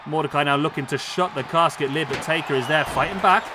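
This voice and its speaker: Hoarse excitable male game commentator. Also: a little high, quick pace, crowd noise. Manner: excitable, bursts of exclamation. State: hoarse, losing his voice. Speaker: gaming YouTuber. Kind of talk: play-by-play commentary over a simulated wrestling match. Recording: clear background noise under voice.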